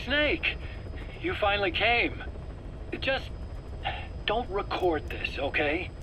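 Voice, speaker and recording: An actor playing a nervous, eager scientist under interrogation in a video game, self-justifying in an earnest, explanatory, pleading way. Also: male voice, high-pitched, big pitch swings, slow pace, exaggerated voice. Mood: secretive, insistent. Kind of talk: dramatic video game voice acting. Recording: faint background noise, cassette tape interrogation.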